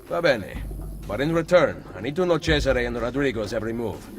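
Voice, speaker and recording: An actor with an Italian accent playing a composed, shrewd assassin leader in a video game cutscene. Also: male voice, mid-range voice, animated, brisk, natural voice. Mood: calm, businesslike.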